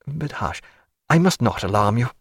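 Guy doing a wry bookish gent, measured talk, dry close mic. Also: medium pitch, lively intonation, husky, no room sound.

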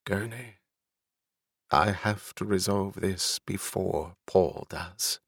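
Stern noblewoman ruler, played by a male narrator: authoritative, formal, resolute, deliberate, in a dry audiobook recording. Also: low voice, vocal fry, a bit bright, slightly rough, dry recording, exaggerated voice. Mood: weary, resolved.

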